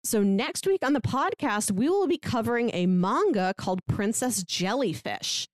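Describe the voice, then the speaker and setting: A woman's voice: a little high, lively intonation, normal speed, no room sound, studio quality. A woman hosting a podcast in a casual, chatty style. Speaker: podcast host.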